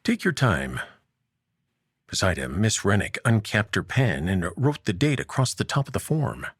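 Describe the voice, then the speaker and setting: A man narrating a scripted audio story as its host, in a measured, dramatic way with deliberate pauses. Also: fairly low pitch, sing-song, slightly rough, dry recording, clean studio sound.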